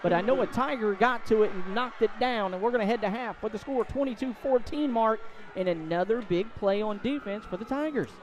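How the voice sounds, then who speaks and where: An American man calling live high school football play-by-play on a headset mic at a stadium. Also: a little fast, lo-fi sound. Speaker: play-by-play sports announcer. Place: outdoor stadium.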